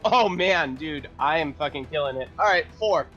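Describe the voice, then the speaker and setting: Bright, higher-pitched man, dull recording. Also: lively intonation, moderate pace. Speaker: tabletop game player. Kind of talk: casual game-table banter. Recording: thin band-limited sound, music behind.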